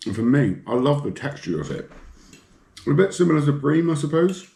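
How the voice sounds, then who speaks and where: A man's voice: fairly high pitch, fairly quick, vocal fry. A man with a blocked nose talks casually and enthusiastically as he cooks in his home kitchen. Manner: relaxed, enthusiastic about food, talks while cooking. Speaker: fishing and cooking vlogger. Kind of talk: casual cooking commentary. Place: home kitchen with sizzling pan. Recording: echoey kitchen with frying noise.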